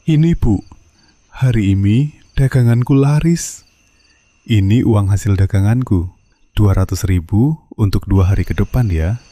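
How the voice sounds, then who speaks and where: A man voicing a patient, poor middle-aged satay seller, meek and soft-spoken. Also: on the low side, measured pace, long pauses.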